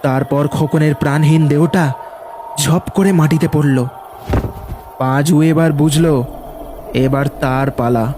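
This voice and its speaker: A man's voice: on the high side, steady tone, takes it slowly, pauses often, noisy background. A man giving scripted, dramatic narration of a horror cartoon story. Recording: loud music behind the voice.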